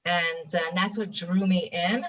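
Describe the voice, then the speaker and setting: An American woman from New York speaks in a bright, forceful voice set a little low. She tells her story earnestly as a podcast guest and often restarts her phrases. She sits a bit back from the mic. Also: steady tone, moderate pace, recorded from a distance, muffled recording. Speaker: guest, ADHD educator. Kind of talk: interview on a podcast.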